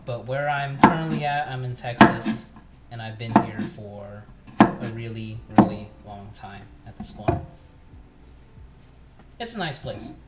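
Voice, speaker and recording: A man's voice: mid-range voice, animated, a little slow, long pauses, heavy background noise. A young man chatting casually while he cooks on a live stream, rambling with lots of fillers and restarts. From Taiwan. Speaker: cooking streamer. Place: home kitchen. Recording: echoey room with music. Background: background music and chopping.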